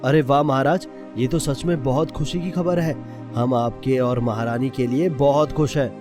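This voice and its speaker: Man as jealous younger prince, cartoon villain, calculating, formal, medium pitch, music underneath. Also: steady tone, normal speed, natural voice. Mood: falsely happy. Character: jealous, scheming younger prince, falsely polite.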